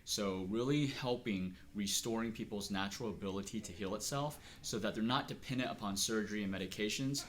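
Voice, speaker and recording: A middle-aged man from Texas, speaking earnestly and at a measured pace as he is interviewed about his life and work. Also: fairly low pitch, a little hiss or hum. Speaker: interviewee, acupuncturist. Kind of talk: reflective personal interview.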